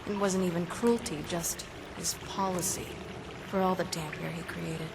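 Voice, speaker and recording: An actress playing a tough, sarcastic half-vampire heroine with a dry delivery. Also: female voice, fairly low pitch, fairly even intonation, normal speed, vocal fry, background noise. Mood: grim.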